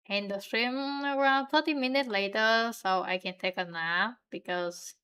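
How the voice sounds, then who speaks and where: A young woman from Vietnam with a Vietnamese accent, chatting to viewers in a relaxed, giggly way while she draws on stream. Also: medium pitch, smooth. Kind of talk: casual stream chat while drawing.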